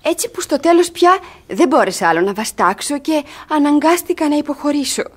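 An actress playing a pleading, scheming young ward in a classic comedy for radio theatre, speaking dramatically. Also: female voice, sits high, lively intonation. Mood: distressed, confiding. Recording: husky-sounding archive radio theatre recording.

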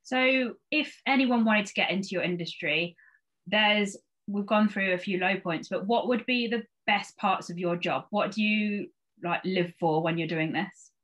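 A woman's voice: medium pitch, unhurried, a bit bright, rough recording. Female host, 30s, mid-range voice, conversational interviewing style on a studio mic. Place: small indoor room, video call. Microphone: studio microphone on a stand.

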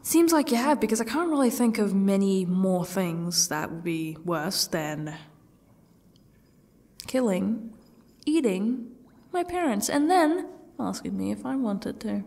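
A woman playing a defiant captive who taunts her torturer with bitter sarcasm in an ASMR roleplay, husky and often dropping toward a whisper, in halting, mocking phrases. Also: mid-range voice, animated, warm tone. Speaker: audio roleplay performer. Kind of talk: ASMR roleplay monologue acting.

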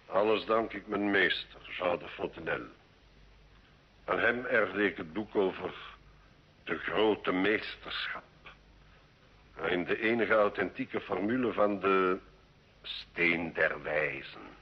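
An actor playing a sly, learned medieval alchemist in an old radio drama, measured and courtly, with a sardonic edge. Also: male voice, low voice, slightly rough, muffled recording, full character voice. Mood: solemn. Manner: slow, measured, deferential yet sardonic.

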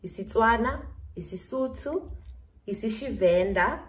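A woman's voice: on the high side, expressive, unhurried. Teen South African schoolgirl presenting. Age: teens. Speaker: student presenter.

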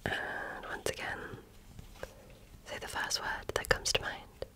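A woman performs an ASMR medical exam roleplay in a bright, crisp whisper. She speaks very slowly and attentively, in short measured phrases. Her manner is that of a gentle examiner putting questions to a patient.

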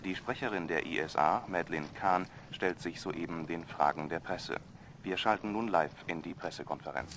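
A radio news announcer in a radio drama, with a very low voice. He reads in a formal, even manner. Faint noise sits under the voice. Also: normal speed. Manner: even, formal bulletin delivery.

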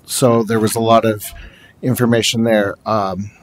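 A man who is a special education teacher, giving a steady, explanatory presentation to a school board in a large meeting room and often pausing on fillers. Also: on the low side. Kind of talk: formal presentation.

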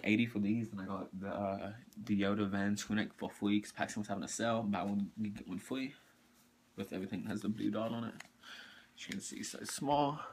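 A teenage boy talks through his new clothes and sneakers in a bedroom, up close to a camera mic. His voice sits slightly low for a guy, and he rambles, stopping and restarting often. Faint clicks and noise sit behind him. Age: teens. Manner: rambling, halting, many fillers and restarts. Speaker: sneaker vlogger. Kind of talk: casual haul video. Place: bedroom, indoors. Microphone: camera or phone mic up close.

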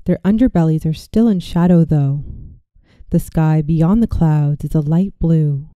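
Woman doing guided meditation, lowish really dark mellow voice, gentle n soothing, dry close sound. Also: clean tone, dry recording. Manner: soothing, gentle, measured delivery. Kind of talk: guided visualization, scripted meditation narration.

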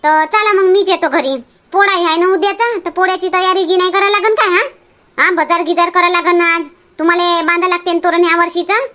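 Someone putting on a shrill chattering village housewife. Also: steady tone, fairly quick, bright, forceful tone. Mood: earnest, chatty. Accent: rural Vidarbha Marathi. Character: Shanta, busy scolding village housewife and mother. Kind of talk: Marathi cartoon comedy dialogue.

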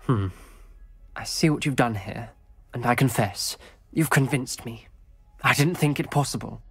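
A man's voice: mid-range voice, a little slow, gravelly, fairly bright tone, breathy edge, heavily acted. A guarded British schoolboy wizard from a fantasy video game. He speaks formally and earnestly, troubled and principled, with music playing behind him. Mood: reluctant. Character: troubled, principled teenage schoolboy wizard, wary of dark magic. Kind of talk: dramatic video game voice acting.

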